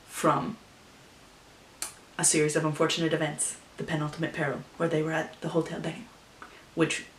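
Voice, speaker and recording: A woman vlogging to camera in a casual, playful, rambling way, full of animated asides. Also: low-pitched, recorded from a distance. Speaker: vlogger telling an improvised story. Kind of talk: casual chatty to-camera talk. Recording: echoey room, voice off-mic.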